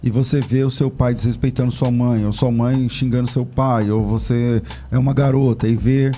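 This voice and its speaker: Mellow-voiced podcast host chiming in. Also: mid-range voice, fairly even intonation, normal speed, slight background noise. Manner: agreeing, short affirmations. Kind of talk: podcast interview.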